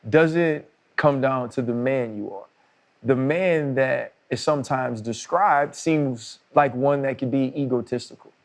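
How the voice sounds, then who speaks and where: Earnest male podcast host. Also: medium pitch. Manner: earnest, praising, building to a question. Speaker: podcast host, former player. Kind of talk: casual podcast conversation.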